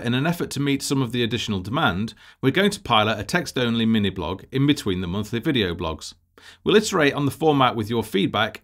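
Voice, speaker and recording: A man narrating a scripted presentation as a project lead, in a measured, formal, businesslike way. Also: lowish voice, fairly bright tone.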